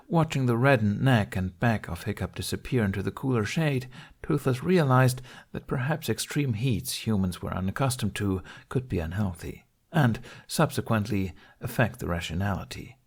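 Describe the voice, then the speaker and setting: A man's voice: fairly low pitch, expressive, normal speed, creaky, breathy edge. A man reading a scripted fantasy story as an audiobook narrator.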